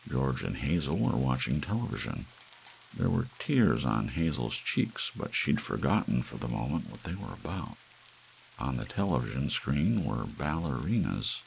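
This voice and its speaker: A man narrating a scripted story as an ASMR audiobook, with soft rain behind him. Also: extremely low pitch, sing-song, moderate pace, creaky, poor audio. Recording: faint rain hiss throughout.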